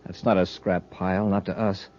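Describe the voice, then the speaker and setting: An actor playing a resolute, quick-thinking space commander, earnest and commanding, in an old-time radio serial. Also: male voice, deep voice, flat delivery, darkish tone, close to an everyday voice. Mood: instructive, brisk. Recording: dull, noisy old radio recording.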